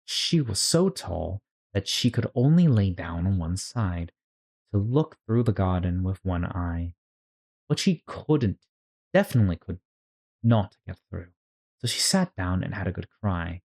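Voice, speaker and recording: A storyteller reading a children's classic aloud as expressive narration for a read-along. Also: deep voice, unhurried, breathy edge.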